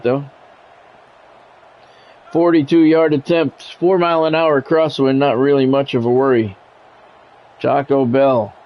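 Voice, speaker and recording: An American man doing live play-by-play of a football video game in short sportscaster phrases, chatting casually with stream viewers. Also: on the high side. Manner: radio-style sportscaster calls in short clipped phrases. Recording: dull lo-fi mic with background music. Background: music.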